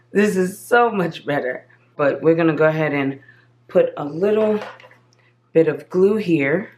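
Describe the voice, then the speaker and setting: A woman with a slightly hoarse voice walks viewers through a craft project step by step. She speaks at a slow, unhurried pace in a chatty, casual tone. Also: low-pitched, lively intonation, a little mellow. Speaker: craft channel host. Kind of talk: casual crafting tutorial voice-over.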